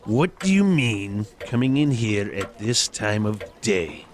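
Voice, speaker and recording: A man's voice: on the low side, big pitch swings, slow, slight background noise. A man playing an elderly reformed miser in an audio drama, theatrical, exclamatory and giddy with joy. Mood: mock stern. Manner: theatrical, exclamatory, bursting into laughter.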